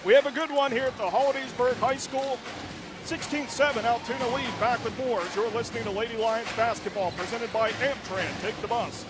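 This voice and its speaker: A man calling live high school basketball play-by-play for radio from a gym, with quick, excited calls that rise to shouts on big plays. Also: music in the background. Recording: radio broadcast with loud gym noise.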